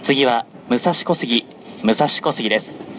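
Guy doing polite formal train conductor announcements, mid pitch, measured, train noise going behind him. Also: a bit bright, poor audio. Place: onboard a running train, over the announcement system. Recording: clear noise of a moving train. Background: train running noise.

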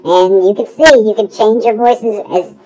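A man demonstrating a squeaky cartoonish little voice through a pitch-shifting voice changer in a tutorial. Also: measured pace, darkish tone, rough recording. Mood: demonstrative. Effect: high pitch-shifting voice changer.

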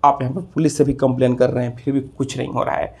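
A man in his thirties talks as an instructive video host, explaining things step by step. His voice is mid-pitched and husky, with little melodic movement, and he often circles back over his phrases. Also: flat delivery, fast talker. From India. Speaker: legal advice video host. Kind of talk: explanatory legal advice to camera. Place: small office room, indoors.

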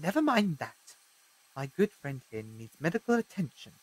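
Man acting an excitable high-voiced male time traveller. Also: moderate pace, husky, low-quality recording. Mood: polite, puzzled. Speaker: actor in a fan audio drama. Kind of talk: full-cast audio drama acting.

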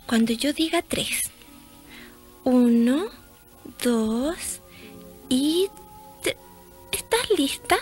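High-voiced, devout little girl. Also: expressive, drawn-out words, long pauses, faint music behind, heavily acted. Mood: earnest, childlike. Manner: hesitant, childlike, repeats word starts. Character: gentle, devout little girl teaching prayer. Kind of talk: radio drama acting.

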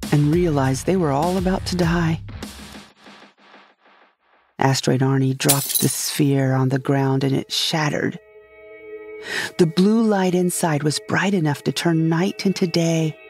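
Woman, low voice, sci-fi audio drama narration, dramatic storytelling, background music. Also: fairly even intonation, measured pace, pauses often, a little mellow. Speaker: narrator and lead character. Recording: music behind the voice.

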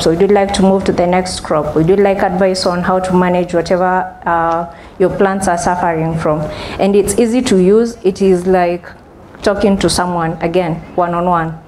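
A woman's voice: fairly low pitch, steady tone, normal speed, a little mellow, a little hiss or hum. A young woman giving a conference presentation from a podium, explaining steadily with some ums and restarts. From Kenya. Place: large conference hall with stage. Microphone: podium microphone.

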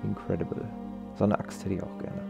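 A man's voice: lowish voice, very animated, a little fast, vocal fry, highs cut off, over loud music. A man giving casual let's play commentary, rambling and joking irreverently.

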